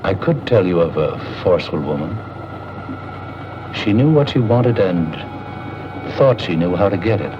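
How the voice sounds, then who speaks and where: An actor playing a calm, aloof, mysterious doctor in 1940s radio drama, speaking formally with measured, ominous delivery. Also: male voice, sits low, noisy background. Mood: ominous storytelling. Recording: dull old radio recording, music behind.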